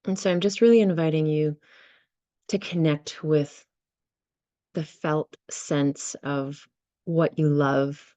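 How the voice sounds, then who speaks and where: A woman leading a guided visualization and meditation, speaking gently and unhurriedly in short phrases with many pauses. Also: low-pitched, fairly even intonation, no room sound. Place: online video session.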